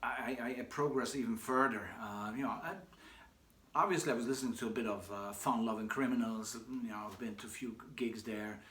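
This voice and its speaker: A man who grew up speaking Hungarian and German, talking casually about himself, with many pauses, fillers and restarts. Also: medium pitch, fairly even intonation, a bit bright. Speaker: shop owner answering questions. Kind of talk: casual personal monologue. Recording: echoey room with faint background noise.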